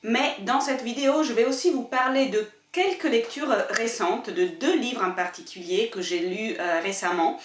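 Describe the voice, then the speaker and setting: A woman's voice: on the high side, moderate pace, hardly any pauses, a little hiss or hum. Woman, roomy and distant. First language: Italian. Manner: careful, explanatory, rephrases points. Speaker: book and culture YouTuber. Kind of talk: casual talk to camera.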